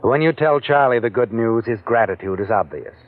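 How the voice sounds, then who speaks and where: A man's voice: mid-range voice, lively intonation, a little fast, narrow, tinny audio. A male narrator speaking to the listener in second person, with a taunting, insinuating manner, in an old radio recording.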